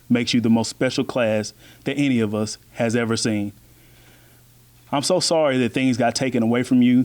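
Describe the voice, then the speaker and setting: A man's voice: medium pitch, darkish tone. School official, man, encouraging graduation remarks, mid-range voice. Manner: heartfelt, encouraging. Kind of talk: graduation address.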